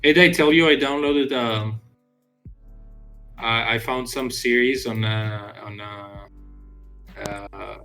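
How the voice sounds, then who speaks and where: A man with a Greek accent talks casually on a live dev stream, stopping and restarting with lots of fillers. His voice is bright and forceful. Faint music plays behind him. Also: mid-range voice, slow pace, pauses often. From Greece. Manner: hesitant, many fillers and restarts. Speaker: indie game developer streaming.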